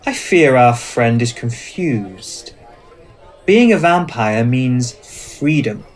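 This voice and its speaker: A man's voice: medium pitch, very animated, takes it slowly. A man playing a courtly, passionate, dominant vampire, speaking in formal, poetic, theatrical phrases for an ASMR roleplay. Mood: wistful, assured.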